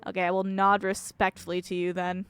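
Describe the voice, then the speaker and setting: A woman's voice: mid-range voice, monotone, brisk, clear, resonant tone. A woman streaming a game, chatting casually and reacting informally while reading dialogue aloud.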